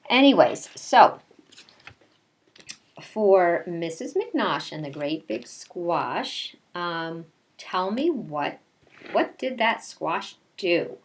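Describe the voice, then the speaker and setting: A woman's voice: lowish voice, animated, drawn-out words. A woman kindergarten teacher doing story time for young children, talking to them directly in a conversational way. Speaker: kindergarten teacher reading a picture book aloud. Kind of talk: story time for young children, read-aloud mixed with casual chat.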